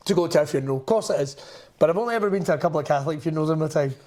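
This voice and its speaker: A man's voice: on the high side, very fast. A Scottish man co-hosting a podcast, ranting in a sweary, exasperated way during casual chat. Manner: animated, sweary, exasperated rants. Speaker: podcast co-host.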